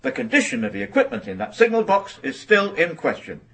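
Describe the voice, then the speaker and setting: Formal objecting counsel, creaky raised voice with lively swings, indignant, radio drama. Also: male voice, sits high, brisk, full character voice. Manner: formal, protesting. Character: indignant male advocate defending a railwayman.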